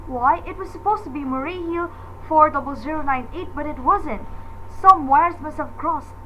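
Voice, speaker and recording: Woman playing a shrill, nervous, demanding housewife. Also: moderate pace, pushed and bright, a little hiss or hum, poor audio. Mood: agitated, indignant. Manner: agitated, imperious, melodramatic. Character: frail, nervous, demanding housewife alone at night. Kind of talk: radio drama acting.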